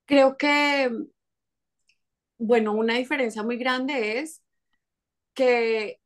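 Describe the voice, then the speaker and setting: A woman in her thirties from Colombia with a Colombian Spanish accent, a podcast guest telling stories in lively casual chat with quick restarts. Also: a little high. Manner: lively storytelling with frequent restarts and laughs.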